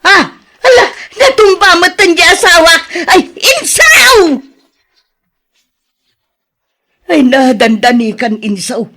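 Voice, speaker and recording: An actress playing an exasperated, worried farmer's wife who scolds and exclaims in an Ilocano radio drama, with an Ilocano accent. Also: female voice, very high voice, big pitch swings, a little slow, pushed and bright, exaggerated voice. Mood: alarmed, distraught.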